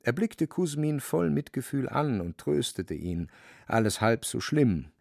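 Casting: male narrator for literary radio drama, gravelly mid-range voice, dramatic delivery, dry close sound. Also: medium pitch, sing-song, a little slow, a little mellow, no echo.

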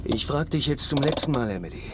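A man's voice: mid-range voice, lively intonation, fast talker, background noise, close to an everyday voice. An actor playing a small-town father in a radio crime drama, restrained but anxious as he questions and decides. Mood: stern. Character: small-town father, hesitant but set on confronting a stranger.

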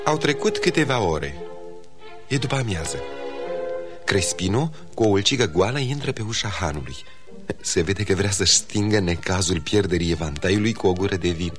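A man's voice: sits low, expressive, music in the background. A man narrating a radio play from a script, setting the scene.

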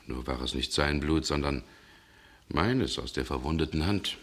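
Brooding gentleman, very low husky voice, grave halting delivery, 1960 radio drama. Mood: grim, intense. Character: brooding, haunted Russian gentleman recounting past dangers. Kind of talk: 1960s German radio drama acting. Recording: 1960 radio recording, some background noise.

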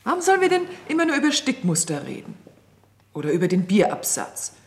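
An actress playing the naive, eager youngest of a group of genteel ladies in a radio play, with a husky, breathy voice. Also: female voice, medium pitch, wildly swooping pitch, a little fast, noisy background. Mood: petulant. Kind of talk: German radio play acting.

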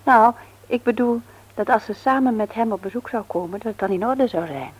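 An actress playing a chatty, expectant wife in brisk conversational radio drama dialogue. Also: female voice, a little high, slightly rough, poor audio.

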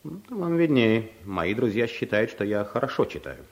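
An actor in a radio comedy playing a shy, awkward office clerk who turns stubbornly bold, with a hesitant, rambling, clumsily persistent way of talking. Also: male voice, lowish voice, sing-song, normal speed, creaky. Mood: stubborn, needling. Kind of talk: radio play comedy acting.

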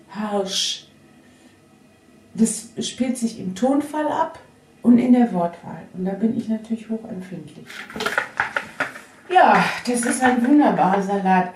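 A middle-aged or older woman in candid personal conversation, speaking in a reflective, halting way. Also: medium pitch, noisy background. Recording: echoey room, distant from mic.

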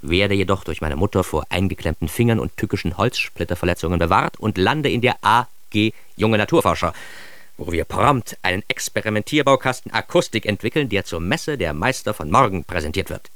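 A man's voice: low voice, animated, moderate pace, fairly bright tone, dry recording. An actor playing an ironic, self-important man who narrates his childhood in a radio drama, with wry, mock-grandiose emphasis. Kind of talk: scripted first-person radio drama narration.

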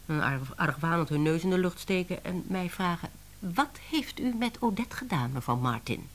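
An actress playing a wry, harried middle-aged woman editor in a Dutch radio play, expressive and exclamatory. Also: lowish voice, moderate pace.

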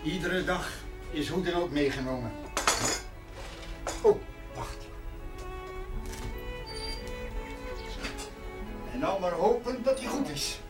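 An actor playing a gentle, modest older retired schoolteacher in a naturalistic seventies television drama, hesitant but earnest. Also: male voice, high voice, sing-song, unhurried. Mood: homely, wistful. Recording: 1970s television soundtrack with music.

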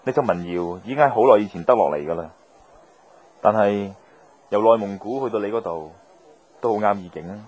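A man's voice: on the low side, brisk, pauses often, a little mellow, close to an everyday voice. An actor playing an easygoing, philosophical male wanderer in a Cantonese radio drama.

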